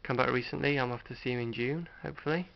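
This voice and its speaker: A man's voice: mid-range voice, rapid-fire, gravelly. A middle-aged British man talking casually and unscripted in a hesitant, rambling, understated way, like a host showing off a collection. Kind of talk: casual unscripted show-and-tell vlog. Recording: dull lo-fi home recording.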